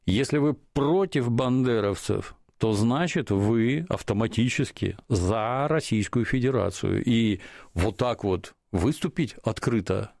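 A man's voice: fairly low pitch, gravelly, a little mellow, dry recording, studio quality. A man in a political talk, delivering his points with sardonic emphasis.

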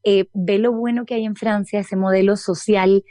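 A woman answers interview questions, pausing and restarting as she gathers her thoughts. Her voice is mellow and dark, and it is recorded dry and close with no room around it. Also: mid-range voice, steady tone, no room sound. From Dominican Republic. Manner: thoughtful, many restarts and fillers. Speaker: interview guest, politician.

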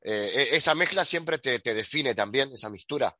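A man's voice: medium pitch, expressive, moderate pace, pushed and bright, no room sound, clean studio sound. A man interviewing a musician, asking his questions hesitantly with frequent fillers. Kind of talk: radio-style interview questions.

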